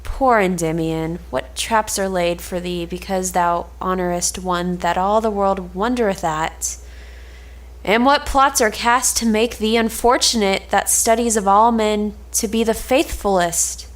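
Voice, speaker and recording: A woman's voice: medium pitch, measured pace, lightly acted. A woman playing a sensible young confidante, disapproving and pitying, in a reading of an Elizabethan stage drama.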